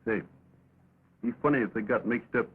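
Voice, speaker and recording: An actor playing a gentle, teasing young cowhand with a folksy American drawl in an early talkie film. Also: male voice, lowish voice, moderate pace, husky. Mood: playful. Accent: American, cowboy drawl. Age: young adult. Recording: crackly, tinny 1920s film soundtrack.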